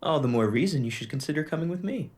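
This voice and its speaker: A man's voice: fairly high pitch, lively intonation, fast, darkish tone, lightly acted. A young man playing a reassuring, polite agent in his mid-twenties in an audio drama. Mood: calm, reassuring.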